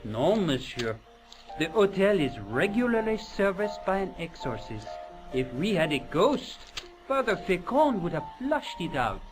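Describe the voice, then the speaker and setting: An actor playing a stubborn, formally polite French hotel clerk in a video game, with a French accent and a dry, deferential manner. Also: male voice, sits high, very animated, unhurried, music in the background, low-quality recording. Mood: dry resignation.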